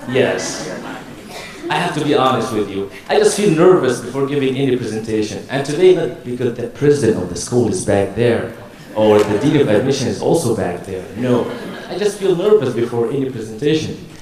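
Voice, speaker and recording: A man's voice: mid-range voice, sing-song, brisk, crowd noise. Egyptian-accented man presenting in an echoey hall. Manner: casual, joking storytelling with acted-out dialogue. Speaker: university staff presenter. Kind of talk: humorous live presentation to an audience. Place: large echoey room before an audience. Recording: very echoey hall, distant microphone.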